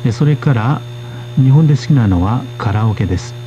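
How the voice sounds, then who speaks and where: A man hosting a radio language course, speaking in a polite, steady way as he interprets for his guests. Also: medium pitch, fast talker, lo-fi sound, a little hiss or hum. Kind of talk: radio lesson presenting.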